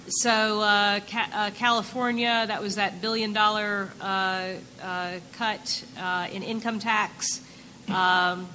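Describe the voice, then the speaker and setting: A woman's voice: mid-range voice, sharp tone, a little hiss or hum. A woman on a conference panel explaining tax policy, hesitant and full of filler sounds. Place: conference hall. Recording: echoey conference hall.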